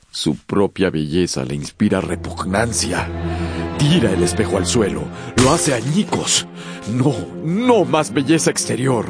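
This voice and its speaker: A man narrating a radio drama in a scripted, dramatic storytelling style. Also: lowish voice. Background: music.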